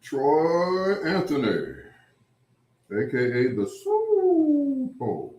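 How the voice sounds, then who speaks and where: Unhurried man with lively pitch, echoey room. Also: lo-fi sound. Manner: unhurried, rambling, repeats phrases, drawn-out greetings. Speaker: livestream host. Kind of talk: casual chat with live viewers. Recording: roomy, echoing sound.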